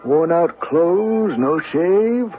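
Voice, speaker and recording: Slow, smug crook. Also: male voice, a little high, expressive. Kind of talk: old-time radio drama acting. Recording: thin phone-like radio recording, music behind.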